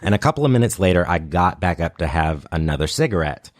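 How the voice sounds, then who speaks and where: Low-voiced guy telling funny self-deprecating stories, super lively intonation, dry close sound. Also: quick pace, no room sound. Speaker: podcast guest, comedian. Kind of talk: casual comic storytelling.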